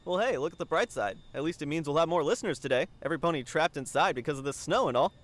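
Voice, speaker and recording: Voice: medium pitch, lively intonation, fast talker, natural voice. A performer playing an easygoing, put-upon male pony janitor, casual and a little hesitant, in a comic audio drama. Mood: casual, placating.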